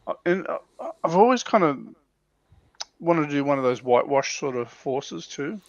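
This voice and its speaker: Gravelly man chatting casually, dull audio. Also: fairly high pitch, expressive, normal speed, no room sound. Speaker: panelist on a wargaming livestream. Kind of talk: casual chat. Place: livestream call.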